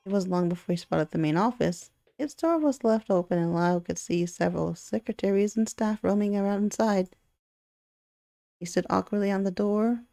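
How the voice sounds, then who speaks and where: A woman reading a visual novel aloud in an expressive way, with chatty asides of her own. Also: mid-range voice, no room sound. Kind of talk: playthrough narration with casual commentary.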